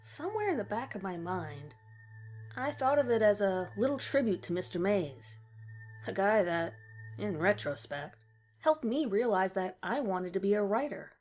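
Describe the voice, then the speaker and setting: A woman's voice: medium pitch, big pitch swings, normal speed, darkish tone. A woman reading a first-person horror story as a scripted narration. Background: music.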